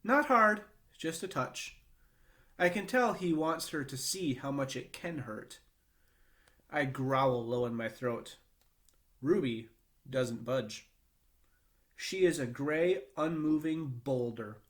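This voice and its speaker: A man reads a children's novel aloud. His voice sits at a middle pitch, and he narrates with expression, as a storyteller to young listeners. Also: slow, pauses often, fairly bright tone.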